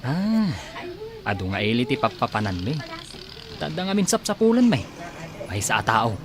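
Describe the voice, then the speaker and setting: A man's voice: mid-range voice, big pitch swings, normal speed, crowd noise. A man acting a probing, bargaining detective from out of town in a radio comedy drama. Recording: noisy, traffic-like street ambience.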